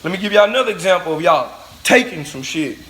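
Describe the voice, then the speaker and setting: High-pitched man, vlogger, blunt profane rant to camera. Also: animated, fast, a bit bright, low-quality recording. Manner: blunt, profane, preachy, talks straight at the viewers. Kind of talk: ranting monologue to camera.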